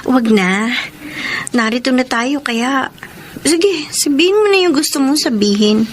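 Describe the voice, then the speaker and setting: A woman's voice: high voice, lively intonation, measured pace, slight background noise. A woman with a Filipino accent playing a bashful young college student torn by love, in radio romance acting. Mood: shy. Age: young adult. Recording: music bed behind voice.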